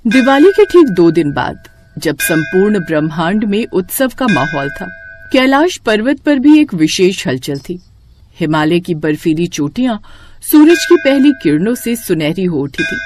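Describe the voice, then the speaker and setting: A woman's voice: mid-range voice, a little mellow, slight background noise. Woman narrating a mythological bedtime story.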